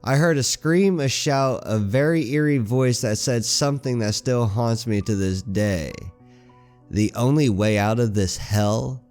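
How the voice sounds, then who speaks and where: Male horror reader, raspy from a cold, mid-pitched, faint music bed. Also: a little slow, darkish tone. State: hoarse with a cold. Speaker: creepypasta narrator. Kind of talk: reading a horror story aloud.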